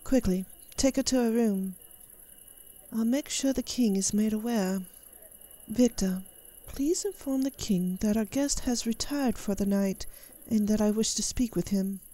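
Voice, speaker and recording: A woman's voice: medium pitch, measured pace, mellow, natural voice, low-quality recording. A woman playing a composed, commanding elf queen in a fantasy audio drama, speaking in a regal, formal manner. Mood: calm command.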